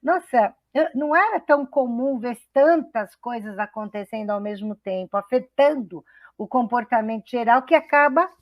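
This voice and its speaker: A woman's voice: a little high, moderate pace, no echo. A middle-aged woman from São Paulo state, hosting a web radio talk show in a chatty, enthusiastic way, often restarting her sentences. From São Paulo state, Brazil. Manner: chatty, enthusiastic, laughs easily, many restarts. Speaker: host.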